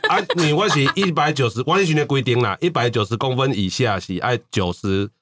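A man with a Taiwanese accent talks at a quick clip in a casual podcast conversation. His mid-pitched voice moves around in lively swings as he tells personal anecdotes. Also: slight background noise. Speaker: podcast co-host.

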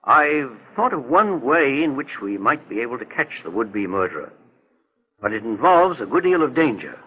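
An actor playing a calm, mature male private investigator in a 1940s radio drama, speaking in a measured, earnest, authoritative way. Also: medium pitch, close to an everyday voice. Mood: grave, deliberate. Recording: muffled vintage 1940s radio recording.

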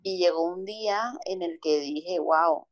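A woman from Colombia with a Caribbean coast accent, a holistic psychologist telling her story in a relaxed interview, expressive and repeating words for emphasis. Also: fairly low pitch, unhurried, no room sound.

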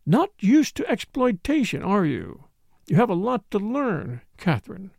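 A man voicing a languid, blunt young society woman who speaks in a slow drawl, in an audiobook. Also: high voice, big pitch swings, vocal fry, dry recording, clean studio sound, heavily acted. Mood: dry, worldly.

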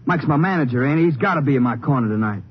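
An actor playing a weary, grumbling young cowboy boxer with an in a 1950s western radio drama. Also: male voice, mid-range voice, animated, racing, lightly acted. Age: young adult. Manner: drawling, grumbling. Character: young, worn-out, surly cowboy prizefighter. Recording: dull, hissy old radio recording.

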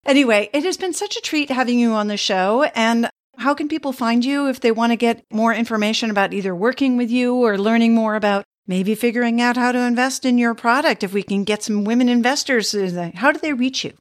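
A woman's voice: on the high side, a little fast, hardly any pauses. A middle-aged woman hosting a podcast, chatting in a reflective, easygoing way and laughing easily. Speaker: podcast host. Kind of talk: casual interview chat.

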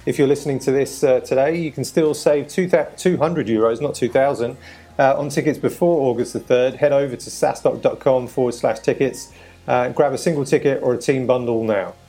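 A man's voice: mid-range voice, fairly quick, dark tone. A man hosting a podcast, presenting and interviewing. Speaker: podcast host.

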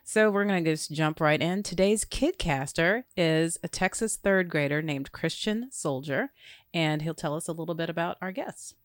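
A woman hosting a parenting talk show, upbeat and quick to laugh. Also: lowish voice, no echo, studio quality. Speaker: host.

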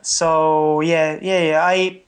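A young man with an Estonian accent, a non-native English speaker, giving candid, good-humoured interview answers as a triathlete and pausing to search for words. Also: on the high side, little pitch movement, smooth. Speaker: triathlete guest.

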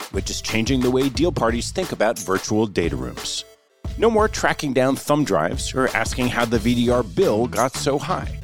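A man reading a polished, upbeat sponsor advertisement. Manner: polished, upbeat pitch delivery. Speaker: ad announcer. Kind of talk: scripted sponsor read.

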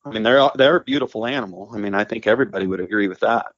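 A man's voice: on the low side, racing, no echo. A man who works as a hunting guide, talking bluntly in a casual interview, full of "I mean" and "you know". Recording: dry, muffled podcast audio.

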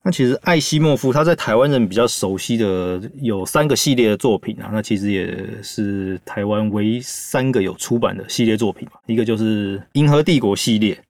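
A man's voice: fairly low pitch, brisk, few pauses, a little mellow, dry recording, clean studio sound. A man with a Taiwanese accent hosting a podcast, explaining things casually and chattily with little asides. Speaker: podcast host.

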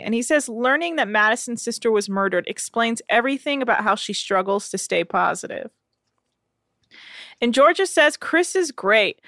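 A woman's voice: a little high, pushed and bright, dry recording. A woman co-hosting a casual podcast, recapping a reality show with sarcastic, dry asides. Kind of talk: casual chat.

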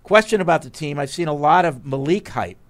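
A man's voice: fairly high pitch, expressive, a little fast. A man from New Jersey hosting a casual sports radio show, reading listener emails and bantering with his co-host. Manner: reads listener emails aloud, playful back-and-forth.

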